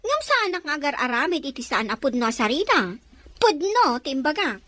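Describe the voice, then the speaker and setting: An actress playing a gossipy, evasive village neighbour in a Filipino radio drama. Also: female voice, sits high, very animated, moderate pace, fairly bright tone, slight background noise. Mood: defensive.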